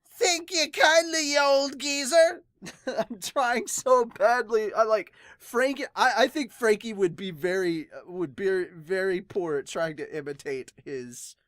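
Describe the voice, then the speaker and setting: A man hosting a casual manga reaction, animated, self-amused and given to exclaiming. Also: high-pitched, a little slow, dry recording, studio quality. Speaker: podcast host and reactor.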